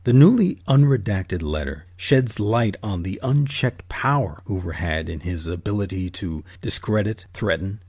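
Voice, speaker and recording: Gravelly, low-voiced male narrator. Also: darkish tone, no echo, clean studio sound. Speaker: host and narrator. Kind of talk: scripted documentary narration.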